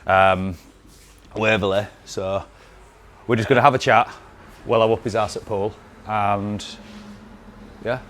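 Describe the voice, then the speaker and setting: Cheeky, banterish host. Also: male voice, on the low side, fairly even intonation, unhurried, long pauses, fairly bright tone, slight background noise. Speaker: podcast host. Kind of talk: casual chat over a game of pool.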